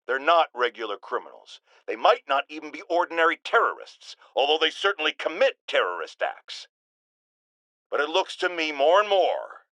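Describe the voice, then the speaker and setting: A man's voice: high voice, creaky, bright, forceful tone, no echo, heavily acted. A man playing a pompous, paranoid middle-aged radio talk show host in a video game, ranting theatrically with indignant emphasis. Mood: ominous. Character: bombastic, paranoid middle-aged male radio shock jock.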